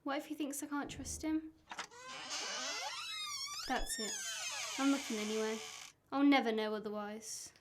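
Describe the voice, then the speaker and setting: Young woman playing exasperated wife, high-pitched, suspicious, amateur radio drama, faint noise. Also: a little slow, pauses often. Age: young adult. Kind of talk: amateur radio play acting.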